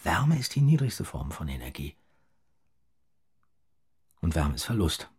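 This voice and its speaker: A restless young thinker in an audio drama. The voice sits very low and is thick with breath and husk. The delivery is intimate and philosophical, with a vulnerable edge. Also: male voice, very animated, unhurried, a little mellow, husky, full character voice. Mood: musing.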